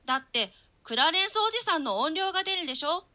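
Cheeky child prince for a radio Shakespeare, woman actor: high-pitched, bright-edged, theatrical and too clever for his age. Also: sing-song, quick pace, exaggerated voice. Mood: frightened, pouting. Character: cheeky, precocious little boy prince. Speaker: stage reader in a troupe's radio reading. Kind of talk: theatrical Shakespeare reading in archaic Japanese translation.

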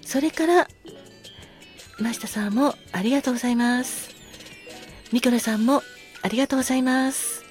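A woman hosting a casual solo talk show, speaking politely with effusive gratitude and soft apologies. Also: sits high, moderate pace, long pauses, soft background music. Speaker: podcast host.

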